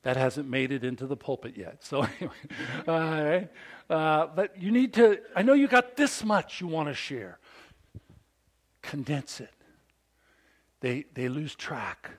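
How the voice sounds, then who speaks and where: Fifties pastor guy preaching, big voice, pitch jumps all over, fairly high for a man. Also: unhurried. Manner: big, animated preaching voice with call-and-response. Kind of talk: sermon.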